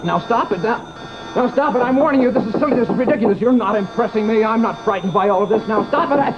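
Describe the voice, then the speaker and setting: An actor playing a pompous, skeptical, easily rattled doctor in old-time radio horror-comedy, with a fussy, sputtering manner. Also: male voice, extremely high pitch, fast. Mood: panicked, sputtering. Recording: dull, noisy vintage radio recording.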